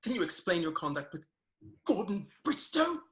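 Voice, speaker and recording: A woman's voice: fairly low pitch, big pitch swings, moderate pace, fairly bright tone. A woman playing a lovesick young army captain in a staged reading of a Victorian farce, formal and wounded. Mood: reproachful, then remorseful.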